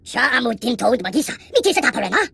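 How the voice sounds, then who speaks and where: A woman voicing a small, excitable alien creature, jabbering in a shrill, creaky voice for a video game. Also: fast talker, exaggerated voice. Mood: excited jabbering.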